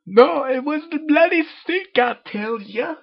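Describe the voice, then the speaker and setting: A man's voice: extremely high pitch, animated, a little slow, gravelly, pitched above the performer's own voice, heavily acted. A man voicing a brash, defensive young female pegasus pony, in a dull lo-fi home recording. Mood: indignant, flustered.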